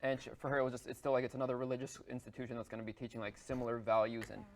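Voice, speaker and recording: A man chatting as a game show contestant, quick and self-deprecating. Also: medium pitch, little pitch movement, crowd noise. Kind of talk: casual conversation.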